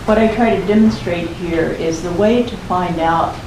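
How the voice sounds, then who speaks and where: An older woman with a low, soft voice giving an unhurried bookstore talk and reading aloud from her book. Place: bookstore event room. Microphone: room recording from a distance. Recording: roomy, distant, noisy live recording.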